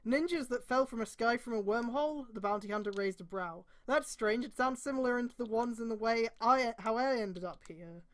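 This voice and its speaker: A woman's voice: fairly high pitch, fairly quick, hardly any pauses. A woman casually reading a story aloud on a stream, breaking off for irreverent, sweary commentary.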